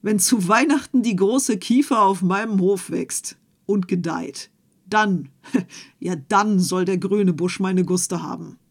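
A woman voicing a stubborn old male farmer in a fairy tale, loud and blustering. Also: mid-range voice, slow, hardly any pauses.

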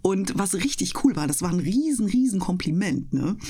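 A woman who works as a speaker, chatting casually and enthusiastically with trained, clear articulation. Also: medium pitch, very animated, normal speed, mellow. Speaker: host of an audio channel and interview guest, professional speaker. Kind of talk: casual interview chat.

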